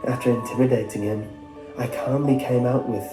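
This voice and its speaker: A man's voice: medium pitch, fairly even intonation, fairly quick. Man narrating a horror story, echoey, music behind. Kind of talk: scripted first-person horror narration. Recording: roomy echo under music.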